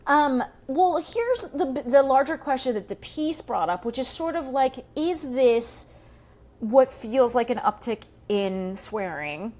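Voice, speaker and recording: A woman comedian hosting a casual comedic panel chat, talking quickly and swearing freely. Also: sits high, lively intonation, a little mellow. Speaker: comedian and podcast host.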